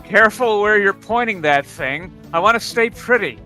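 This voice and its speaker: A man's voice: high voice, animated, moderate pace, pushed and bright, faint music behind. A man in a table read, chatting casually and reading lines with dry humor. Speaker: cast member in a table read. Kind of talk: casual chat and amateur script reading.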